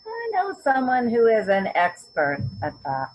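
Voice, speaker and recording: A woman playing a warm, idealistic mother in her eighties in a readers theater scene. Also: fairly high pitch, wildly swooping pitch, unhurried, full character voice. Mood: warm, hopeful. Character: warm, idealistic eighty-three-year-old mother taking up a humanitarian mission. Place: at home on a video call. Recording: dull, noisy home video call.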